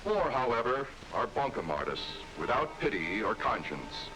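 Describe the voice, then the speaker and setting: A man's voice: medium pitch, very animated, normal speed, over music, rough recording. An American man reading scripted 1960s documentary narration with an emphatic, authoritative delivery on an old film soundtrack.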